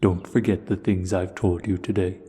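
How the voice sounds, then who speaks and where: Male actor as ancient dragon, very low voice, long cave-like reverb, slow measured delivery, wise and gentle, audio roleplay. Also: little pitch movement, lightly acted. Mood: calm, cautioning. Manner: slow, measured, reflective storytelling. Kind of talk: audio roleplay acting, addressing the listener.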